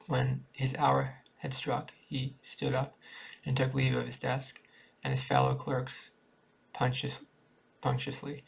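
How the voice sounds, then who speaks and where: A man reading a literary short story aloud in a halting way, sometimes stumbling over words. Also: medium pitch, creaky, breathy edge, poor audio. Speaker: amateur story reader.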